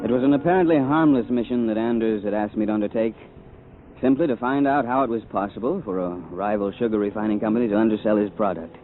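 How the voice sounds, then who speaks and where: An actor playing a terse, wry adventurer-investigator in a 1940s radio drama, with a dry, understated delivery. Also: male voice, fairly low pitch, fast talker, close to an everyday voice. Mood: grave narration. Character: terse, wry male adventurer-investigator, world-weary hero. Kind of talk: 1940s radio drama acting and first-person narration. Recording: tinny old radio broadcast, music behind.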